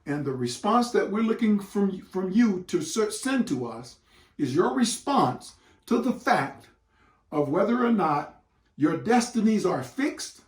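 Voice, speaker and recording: Slow, emphatic man in an echoey room. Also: high-pitched, big pitch swings. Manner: deliberate, emphatic delivery with dramatic pauses and repeated phrases. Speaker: educator hosting a read-aloud for students and parents. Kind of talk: read-aloud lesson addressed to students. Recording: roomy echo, distant microphone.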